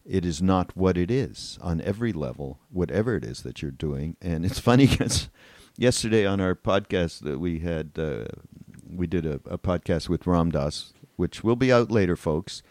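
An older man hosting a casual podcast interview, speaking in a halting, rambling way. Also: sits low, animated, darkish tone, no room sound. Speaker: podcast co-host.

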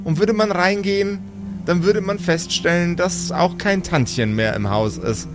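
A man's voice: sits high, a little slow, over music. A man as game master, improvising the story of a tabletop role-playing podcast in a playful, teasing way.

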